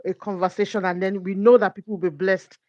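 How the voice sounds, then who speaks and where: A woman's voice: medium pitch, little pitch movement, fast talker, darkish tone, dry recording. A woman talks as a guest coach, earnest and careful, working through her points with examples. Her voice sounds dry and a little dull, with no room around it. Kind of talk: conversational faith talk.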